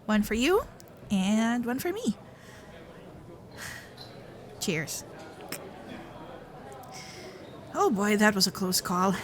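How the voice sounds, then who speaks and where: Sweet, unhurried kitsune girlfriend, played by a woman. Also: medium pitch, very animated, a crowd in the background. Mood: relieved. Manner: nervous, stammering, giggly, caring. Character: sweet flustered young female kitsune fox-spirit girlfriend. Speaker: ASMR roleplay performer. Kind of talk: ASMR girlfriend roleplay.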